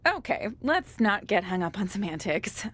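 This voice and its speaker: A woman's voice: medium pitch, big pitch swings, moderate pace, a bit bright. A woman playing a chatty, upbeat documentary interviewer in a video game, breezy and conversational. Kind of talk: video game cutscene acting.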